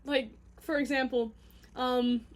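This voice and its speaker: Young Canadian woman, high-pitched, casual self-mocking vlog ramble to camera. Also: fairly even intonation. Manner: chatty and self-mocking, quick giggly asides. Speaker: vlogger.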